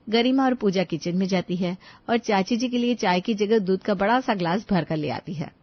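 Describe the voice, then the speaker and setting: A woman's voice: mid-range voice, normal speed, dry recording. A woman narrates a scripted family moral story. She works as the storyteller between dialogue lines. The recording is very dry and a touch dull.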